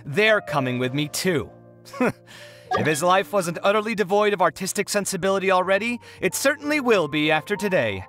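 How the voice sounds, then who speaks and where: A man's voice: high voice, very animated, fairly quick, a bit bright, faint music behind. An actor playing an exasperated, dramatic, proud young man in a video game, speaking in an eloquent, indignant way. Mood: proud, determined.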